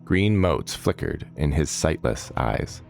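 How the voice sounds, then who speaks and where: A man narrating a scripted fantasy audiobook as storyteller, measured and dramatic in his delivery. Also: rumbling low, animated, creaky, soft background music.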